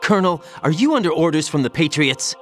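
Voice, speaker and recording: A man's voice: on the high side, big pitch swings, fast, a bit bright. An actor playing an earnest, bewildered young male soldier in a dramatic video game scene. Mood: uneasy. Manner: questioning, incredulous, earnest. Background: music.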